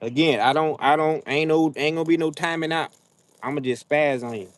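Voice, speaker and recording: A young adult man with hosts a casual livestream, talking trash to his viewers in an animated, drawling, slangy way. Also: on the high side, fairly quick, a little hiss or hum. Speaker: livestream host. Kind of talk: casual live chat with viewers. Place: outdoors, frogs nearby.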